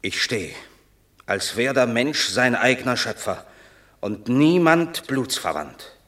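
An actor playing a proud, unbending Roman general torn by family feeling, declaiming classical verse in a radio play, between hushed and ringing tones. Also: male voice, mid-range voice, lively intonation, takes it slowly. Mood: stern, forceful.

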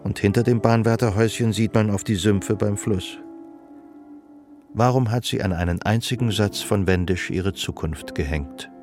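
A man acting as a detached, observant storyteller, narrating literary radio drama in a measured way. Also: fairly low pitch, darkish tone, soft background music, lightly acted. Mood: wistful. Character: detached, observant male storyteller of a woman's life.

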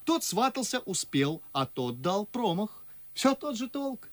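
A man's voice: sits high, very animated, unhurried, dry recording. An actor playing an ardent, witty, mocking young man in love in a verse radio play, with theatrical delivery. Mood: teasing. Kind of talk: classic verse stage acting for radio. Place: radio studio.

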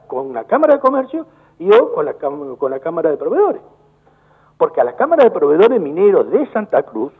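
An Argentine man, a business chamber director, speaking indignantly on a phone call and repeating words in rapid bursts. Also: high voice, slightly rough. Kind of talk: heated phone-in interview. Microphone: telephone. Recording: tinny phone line.

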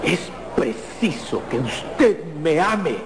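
Creepy solemn phantom lover, super slow, reverby n noisy, radio drama. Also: male voice, a little high, very animated, heavily acted. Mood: possessive, solemn. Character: mysterious, possessive phantom lover behind a door. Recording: long reverb with clear noise.

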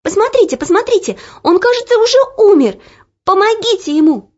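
A woman voicing a brave, stubborn young girl who cries out loudly in protest. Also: extremely high pitch, fairly quick, pitched above the performer's own voice. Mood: pleading. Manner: loud, pleading, indignant cries. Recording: dull lo-fi recording.

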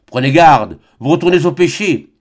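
A man voicing a harsh, fanatical friar who shouts in a hard voice. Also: on the high side, animated, slow. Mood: stern.

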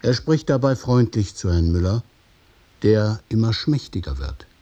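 A man narrating a scripted radio drama in the present tense, with deliberate, measured phrasing. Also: fairly low pitch, animated, warm tone, muffled recording.

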